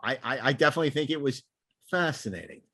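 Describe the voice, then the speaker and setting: A man's voice: medium pitch, lively intonation, quick pace, bright, forceful tone, husky, no echo, clean studio sound. A man hosting a podcast in casual, enthusiastic chat, rambling with repeated words and stop-start phrasing. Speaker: podcast host.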